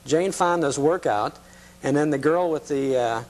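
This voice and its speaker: Slightly high-voiced man hosting a chat. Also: unhurried, darkish tone, poor audio. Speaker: TV talk show host. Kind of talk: casual nostalgia interview.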